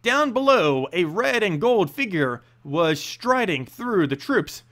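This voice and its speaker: A man's voice: high voice, sing-song, takes it slowly, a bit bright. A man reading a fantasy story aloud as a narrator, with dramatic delivery and occasional hesitant stumbles. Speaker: reader of fantasy stories, channel host.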